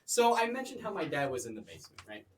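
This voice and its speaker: A man's voice: a little high, huge pitch swings, fast talker, recorded from a distance, crowd noise. A young man co-hosting a comedy podcast, telling rambling stories in a theatrical, joking way. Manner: theatrical, jokey. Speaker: co-host of a chaotic comedy podcast. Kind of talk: rambling comedic storytelling, casual chat. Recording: echoey room with loud noise.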